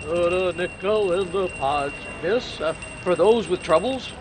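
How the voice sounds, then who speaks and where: An actor playing a Christmas charity collector calling out a sing-song street appeal in 1950s radio drama style. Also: male voice, high-pitched, lively intonation, measured pace. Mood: pleading chant. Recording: very noisy, street sounds behind.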